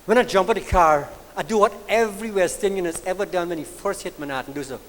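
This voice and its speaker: A man from Guyana with a Guyanese accent, telling a stand-up story live on stage in an animated, drawling way. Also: sits high, quick pace, in a large hall, background noise. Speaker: stand-up comedian. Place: live comedy venue with an audience.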